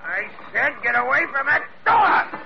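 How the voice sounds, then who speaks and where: A desperate old sea captain, drunk and worn out, in vintage radio drama. His strained, high voice creaks heavily. The recording is muffled and very noisy. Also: very animated, a little slow, heavily acted. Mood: desperate, defiant. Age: older. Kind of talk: 1940s radio drama acting.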